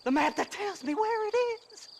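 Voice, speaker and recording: An actor playing a half-mad, greedy, filthy treasure hunter in a Western video game, rambling in a manic American drawl. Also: male voice, extremely high pitch, animated, moderate pace, gravelly, slight background noise, heavily acted. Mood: bitter, agitated.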